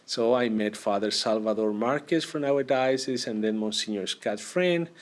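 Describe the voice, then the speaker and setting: Reflective priest from Argentina. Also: male voice, mid-range voice. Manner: unhurried, reflective, with frequent pauses and hesitations. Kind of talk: personal story in an interview.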